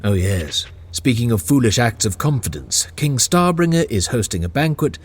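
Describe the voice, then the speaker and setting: A man's voice: mid-range voice, very animated, normal speed, slightly rough. An actor playing a witty male chamberlain who teases and laughs often. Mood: wry. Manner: teasing, wry, laughs often. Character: male chamberlain, witty courtier, playful and teasing. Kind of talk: audio drama acting.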